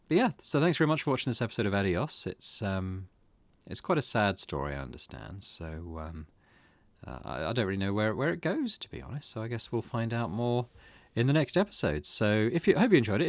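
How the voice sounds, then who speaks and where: Low-voiced man hosting a let's play. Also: sing-song, a little fast, no room sound. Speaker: gaming channel host. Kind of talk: casual let's play commentary.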